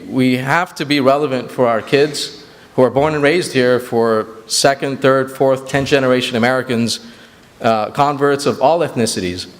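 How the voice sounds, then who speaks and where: Man giving a speech in a reverberant hall. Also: medium pitch. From Sri Lanka. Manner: earnest, with frequent fillers and restarts. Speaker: executive director. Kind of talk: banquet speech. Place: large banquet hall.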